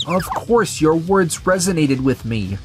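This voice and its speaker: A man's voice: on the high side, big pitch swings, unhurried. Haughty male company boss, manga dub, voiced by a man: evasive, defensive, faint music behind. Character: proud, defensive male company president, evasive under pressure. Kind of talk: scripted manga dub acting.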